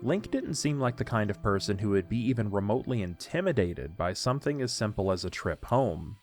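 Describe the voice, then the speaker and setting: A man's voice: lowish voice, expressive, brisk, a little mellow, soft background music. A man narrating a scripted story for an audio drama.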